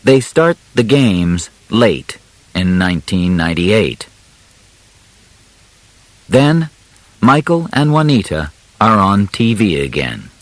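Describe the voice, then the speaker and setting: Male audiobook narrator, lowish voice with lively intonation, measured short phrases, simple scripted read. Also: dry recording.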